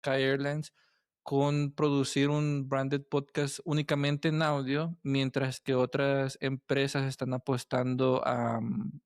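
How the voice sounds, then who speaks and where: A man's voice: mid-range voice, vocal fry, dry recording, studio quality. A man hosting a podcast, asking casual interview questions in a hesitant, meandering way. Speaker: podcast host.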